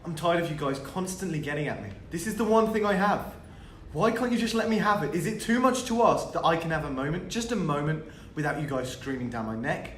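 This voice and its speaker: A man's voice: medium pitch, fast talker, few pauses, close to an everyday voice. A man playing a beleaguered, withdrawn teenage schoolboy in a script table read, weary and subdued. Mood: fed up. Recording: echoey room with background noise.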